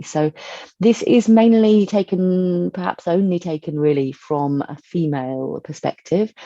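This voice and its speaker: A woman's voice: deep voice, gravelly, no room sound, muffled recording. A woman hosting a podcast interview, chatty and full of filler words. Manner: chatty, many ums, warm welcoming asides. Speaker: podcast host.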